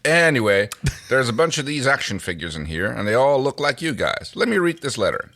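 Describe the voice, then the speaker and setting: A man's voice: medium pitch, sing-song, fairly quick, a bit bright. A man co-hosting a podcast, reading fan fiction as narration with casual remarks in between. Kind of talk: dramatized story reading with casual asides.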